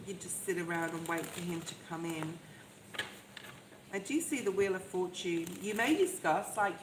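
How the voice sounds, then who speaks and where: A soft-voiced woman giving a live tarot reading, talking in a chatty, reassuring way and often checking in with "okay?". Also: lowish voice. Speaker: tarot reader. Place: outdoors in the rain. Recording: echoey, with loud rain noise. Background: rain noise.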